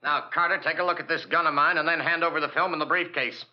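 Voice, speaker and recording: A man's voice: a little high, fairly even intonation, fast, lightly acted. A man playing a tough, threatening enemy agent with slangy, commanding talk in an old radio crime drama. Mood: menacing. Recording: dull old radio recording.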